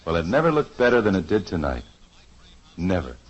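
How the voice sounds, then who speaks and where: A middle-aged man playing a kindly family father in a 1950s radio sitcom, gentle and wry. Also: low voice, very animated, fairly quick, highs cut off, a little hiss or hum. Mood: tender. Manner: gentle, wry, self-deprecating.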